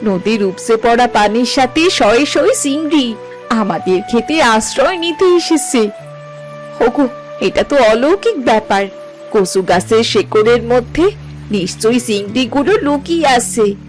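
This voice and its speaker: Farmer's wife for a cartoon fable woman performer, expressive, highish voice, soft music underneath. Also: measured pace, low-quality recording. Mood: amazed. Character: farmer's amazed, devoted village wife. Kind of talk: cartoon moral-story dialogue. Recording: music behind the voice.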